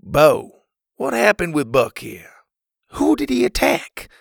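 A man voicing a proper, stern but concerned father in a storybook, speaking with measured authority. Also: high voice, wildly swooping pitch, vocal fry, no echo, studio quality, voice pushed up, heavily acted.

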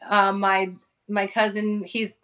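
A woman's voice: medium pitch, completely flat delivery, takes it slowly, fairly bright tone. A woman in her forties from Hawaii, talking as an interview guest in a chatty, casual way with many fillers and frequent laughter. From Hawaii, United States. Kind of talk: casual conversation.

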